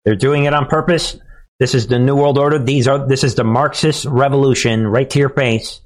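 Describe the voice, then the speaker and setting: A man's voice: mid-range voice, steady tone, normal speed. A male host giving combative, scornful commentary over news clips and talking straight to his listeners. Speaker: show host.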